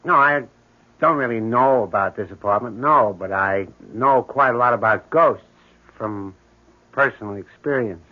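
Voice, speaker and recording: An odd building handyman, played by a man in a vintage radio play. He has a medium-pitched voice and a casual, brooding, morbid way of talking, over a thin old broadcast. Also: normal speed, narrow, tinny audio, close to an everyday voice. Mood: casual, curious. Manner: casual, matter-of-fact, brooding. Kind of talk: 1970s radio drama acting.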